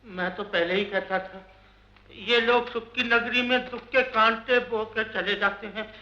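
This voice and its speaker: An actor playing an excitable, boastful, jealous and lovesick village man in theatrical old Hindi film comedy style. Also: high-pitched, unhurried, full character voice. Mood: boastful, mocking. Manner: theatrical, wheedling and blustering. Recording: dull 1950s film soundtrack, roomy echo.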